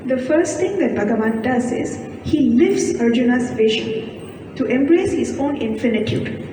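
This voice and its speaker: Soft-voiced woman lecturing in an echoey hall. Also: a little high, animated. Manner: measured, explanatory, poses questions then answers them. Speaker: guest speaker giving scripture commentary. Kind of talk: spiritual discourse on scripture. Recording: echoey hall, distant mic, audible room noise.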